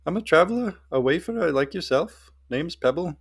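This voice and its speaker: A man's voice: on the high side, lively intonation, normal speed, creaky, slightly rough, pitched above the performer's own voice, exaggerated voice. A man voicing a tiny, wrinkled old goblin woman, sly, folksy and knowing, in a fantasy story. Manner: folksy, teasing and cryptic.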